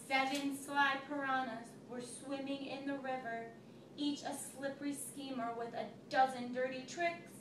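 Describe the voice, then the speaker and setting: A woman's voice: high voice, flat delivery, measured pace. A woman teacher reading a picture book aloud to a child, telling it expressively and stopping to ask questions. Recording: echoey room, recorded from far off, noisy.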